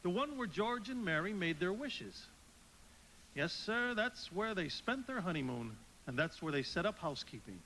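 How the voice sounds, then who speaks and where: A folksy storyteller in a live radio play. His high, bright voice moves with lively swings as he tells the tale, over a faint hiss. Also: moderate pace, full character voice, poor audio. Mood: eager, reminiscing. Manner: animated, folksy. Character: folksy male storyteller narrating to a companion. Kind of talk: radio drama narration.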